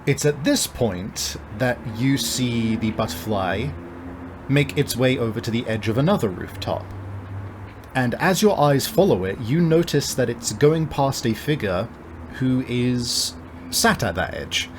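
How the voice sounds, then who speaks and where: A man narrating a tabletop game as game master, conversational and halting, with deliberate pauses. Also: medium pitch, lively intonation, slight background noise. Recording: music playing behind. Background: background music.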